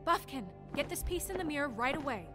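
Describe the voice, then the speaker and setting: A woman playing a stressed, commanding young office leader in a video game, brisk, with music behind. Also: sits high, expressive. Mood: curt. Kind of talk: video game character acting.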